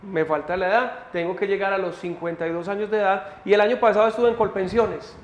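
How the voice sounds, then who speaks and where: A man's voice: high voice, steady tone, fast, lo-fi sound. A Colombian man in his fifties co-hosting a talk show, asking chatty questions and repeating himself as he uses his own life as an example. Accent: Colombian Spanish. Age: 50s. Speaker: co-host. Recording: echoey studio with faint noise.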